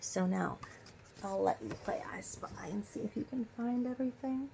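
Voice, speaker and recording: A woman's voice: medium pitch, lively intonation, normal speed, vocal fry, mellow, slight background noise, low-quality recording. A woman teacher reading a children's story aloud and prompting listeners with instructive questions.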